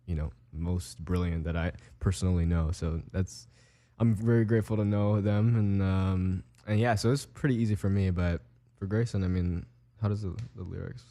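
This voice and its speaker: A young man from Hawaii, a music producer giving laid-back, halting interview answers full of fillers. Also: deep voice, fairly even intonation, mellow.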